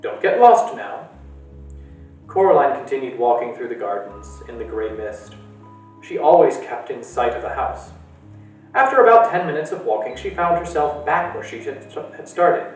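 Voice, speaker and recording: A man from a library reading a storybook aloud in a lively, animated way. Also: mid-range voice, normal speed. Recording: echoey room, distant mic, faint music.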